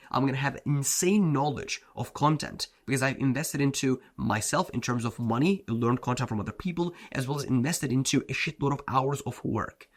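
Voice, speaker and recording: A man whose first language is Turkish, a self-improvement coach giving a blunt, slangy motivational talk, often saying "bro" and "like". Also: medium pitch. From Turkey.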